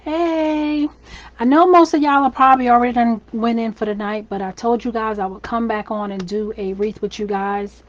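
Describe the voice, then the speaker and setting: A woman's voice: fairly high pitch, flat delivery, moderate pace, muffled recording. A woman with a scratchy voice from laryngitis, chatting her way step by step through a live craft tutorial. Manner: chatty, friendly, step-by-step instructing. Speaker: wreath maker and shop owner. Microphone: phone or camera livestream.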